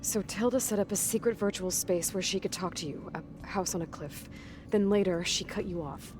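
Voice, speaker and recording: A woman's voice: mid-range voice, normal speed, natural voice. A woman voicing a determined young woman hunter in a video game, speaking directly and probing. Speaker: game protagonist. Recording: game cutscene with music behind.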